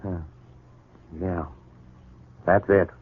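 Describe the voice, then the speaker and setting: Low-voiced, troubled radio soap husband. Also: male voice, little pitch movement, very slow, husky, no room sound, full character voice. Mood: remorseful, tender. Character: troubled, guilt-ridden, grateful husband and father. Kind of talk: 1940s radio soap opera acting. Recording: muffled, narrow 1940s radio broadcast recording.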